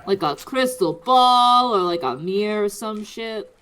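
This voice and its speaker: A woman's voice: medium pitch, lively intonation, unhurried, a bit bright, a little hiss or hum. A woman playing a friendly druid adventurer who talks like a slangy, chummy bro in a tabletop game. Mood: laid-back, friendly. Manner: slangy, chummy, casual swearing.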